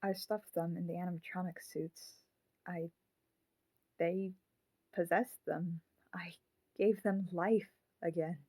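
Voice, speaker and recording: A woman voicing the grown-up ghost of a murdered child in a puppet, speaking haltingly and solemnly in an audio drama. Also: on the low side, steady tone, a little mellow, slightly rough, no echo, exaggerated voice. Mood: hesitant confession.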